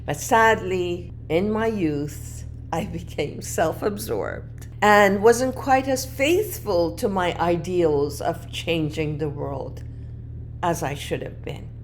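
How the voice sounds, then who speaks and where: Woman host, non-native English speaker, unhurried personal monologue, faint ambient hiss behind. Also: lowish voice. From moved to Canada as a child, not a native English speaker. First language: not English. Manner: reflective, earnest, with wide swings between emphatic and hushed phrases. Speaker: podcast host.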